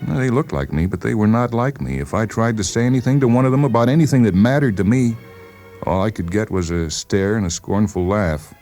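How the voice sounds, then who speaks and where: A defensive male psychiatric patient, performed by a story reader, speaks in halting, insistent bursts, lifted well above a deep natural register. He sounds suspicious and agitated, as in a radio drama, with music underneath. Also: lively intonation, darkish tone. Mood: earnest, bitter. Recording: voice over background music.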